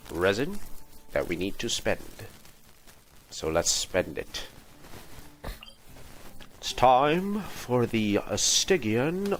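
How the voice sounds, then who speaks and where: Deep, creaky-voiced male game streamer. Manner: muttering to himself in short fragments. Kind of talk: livestream gameplay commentary. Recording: noisy livestream mic. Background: background noise.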